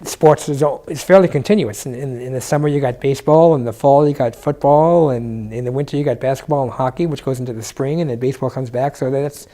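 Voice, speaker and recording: An older man from New York City, a freelance audio engineer, talking in a relaxed, reflective way in a documentary interview, sitting in a living room. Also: medium pitch. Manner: relaxed, reflective, with pauses and fillers.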